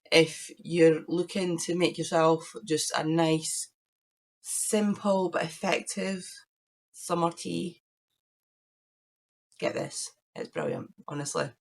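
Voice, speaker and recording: A woman hosting her crafting podcast, chatting casually to camera with a croaky voice while she recovers from illness. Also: lowish voice. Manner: chatty, rambling, shows knitting to camera. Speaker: podcast host.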